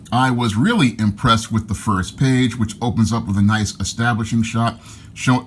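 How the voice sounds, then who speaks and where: A man giving an enthusiastic, casual comic book review, often repeating himself as he talks. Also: mid-range voice. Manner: enthusiastic, repeats "again" and "your".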